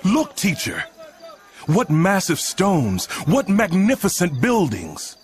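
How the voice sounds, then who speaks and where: An actor playing an awestruck male disciple who marvels to his teacher with drawn-out exclamations, in a dramatic scripture reading. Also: high voice, wildly swooping pitch, heavily acted. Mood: awed.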